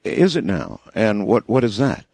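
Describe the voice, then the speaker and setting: Male radio host, lowish voice, close dry sound, deliberate questioning, hesitant phrasing. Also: wildly swooping pitch, gravelly, darkish tone, breathy edge, dry recording. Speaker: radio talk show host. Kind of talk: late-night radio interview, posing questions.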